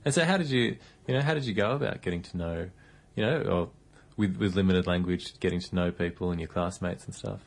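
Man, very deep voice, radio host, rambling questions. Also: brisk, gravelly, hardly any pauses. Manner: rambling, hedging questions full of "you know". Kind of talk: radio interview.

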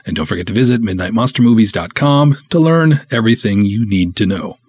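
A man's voice: fairly low pitch, expressive, a little fast, darkish tone, breathy edge, no echo. A man hosting a podcast in a casual, conversational style, talking and reading aloud with wry, snarky sarcasm. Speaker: podcast host and filmmaker.

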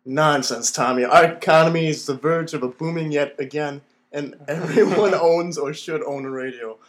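A man acts out a blustering admiral and station owner in an old-time radio drama. He is gruff and impatient, giving orders in a somewhat high male voice. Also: normal speed, lightly acted. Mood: indignant. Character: blustering, demanding wealthy admiral who owns a radio station. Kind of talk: 1930s radio play acting.

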